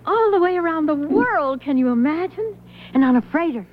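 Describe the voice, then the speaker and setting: A woman's voice: high-pitched, animated, moderate pace. A woman acting an emotive, chattering wife in her thirties in an old-time radio drama. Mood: excited, chattering. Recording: thin phone-like vintage radio, music behind.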